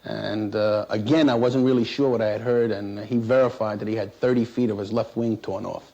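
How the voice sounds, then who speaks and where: A man who works as an air traffic controller recounts his experience in an interview in a halting, plain-spoken, matter-of-fact way. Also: on the low side, fairly even intonation, vocal fry, mellow, dull audio. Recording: noisy 1960s interview recording.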